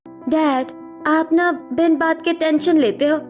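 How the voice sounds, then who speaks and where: A woman acts out a spoiled, haughty young cartoon rich girl with a high, shrill-edged voice. She is brash and demanding and drops English words into her talk. Faint music sits underneath. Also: steady tone, slow, darkish tone. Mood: defiant. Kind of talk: animated cartoon story acting.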